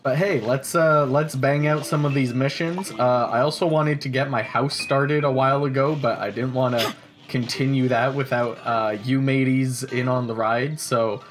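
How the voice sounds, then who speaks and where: A man's voice: medium pitch, steady tone, moderate pace. A young man giving casual, rambling gameplay commentary as the host of a gaming video. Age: young adult. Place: indoor room, in front of green screen.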